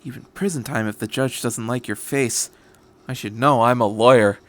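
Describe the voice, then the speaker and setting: A man reads the part of a cynical salaryman lawyer in a story. He sounds dry and matter-of-fact, a gossip at a middling pitch. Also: fairly even intonation, normal speed, lightly acted. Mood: wry.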